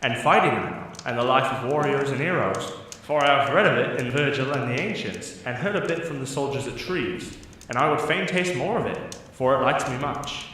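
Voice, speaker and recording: A man's voice: medium pitch, lively intonation, normal speed, sharp tone. A young man playing an eager, bookish young prince in an audio drama, speaking earnestly in formal, archaic phrases. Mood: earnest, puzzled. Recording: very echoey, distant mic, faint noise.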